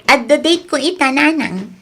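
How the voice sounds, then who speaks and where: A woman's voice: high voice, expressive, moderate pace, sharp tone. A woman with a Filipino accent playing a demanding, haughty young single woman in a radio comedy-drama. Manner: whiny, haughty, indignant. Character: fussy young single woman, demanding high-maintenance date.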